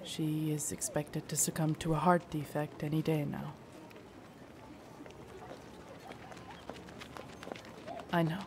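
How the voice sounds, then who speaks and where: A woman playing a loyal, gentle royal knight in an audio roleplay, formal, deferential and soothing in her measured speech. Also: deep voice, flat delivery, slight background noise. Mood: sorrowful, tender. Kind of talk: immersive audio roleplay acting.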